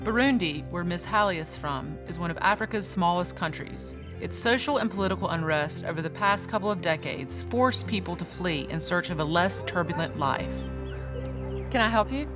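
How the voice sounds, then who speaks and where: A woman with a fairly low voice hosts a travel food show. She is curious and upbeat and laughs easily as she tastes and asks questions, with music playing behind her. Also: lively intonation, normal speed. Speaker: host. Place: outdoors at farms and markets.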